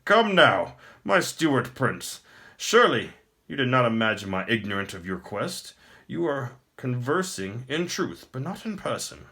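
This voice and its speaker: Gloating tyrant wizard for fantasy audiobook man acting: raised pitch, wildly swooping, grand formal courtesy with mockery. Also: very animated, measured pace, sharp tone, full character voice. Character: gloating male wizard emperor, smooth-talking tyrant.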